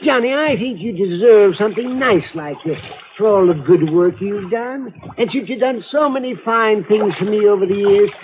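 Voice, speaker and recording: A man's voice: high voice, moderate pace, slight background noise, close to an everyday voice. An actor playing a genial, scatterbrained, wealthy old eccentric in a 1950s radio drama, absent-minded and chuckling as he talks. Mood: cheerfully generous. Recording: muffled phone-like old radio recording.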